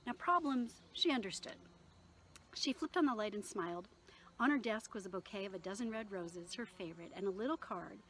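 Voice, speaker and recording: A woman reading her own novel aloud in an expressive storytelling manner, with occasional small stumbles. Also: medium pitch, brisk, a little hiss or hum.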